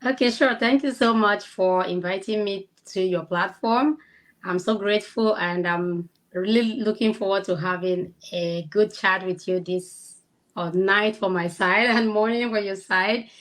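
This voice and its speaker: Cameroonian-accented woman, medium pitch. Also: steady tone, a little slow, a bit bright. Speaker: interview guest, kindergarten teacher. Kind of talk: casual interview chat.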